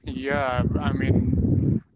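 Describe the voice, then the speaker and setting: A man from Pakistan speaks in a flat, level tone, hesitating and repeating himself. Loud noise sits under his dull, lo-fi audio. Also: medium pitch, extremely slow. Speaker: student. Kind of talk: casual conversation practice.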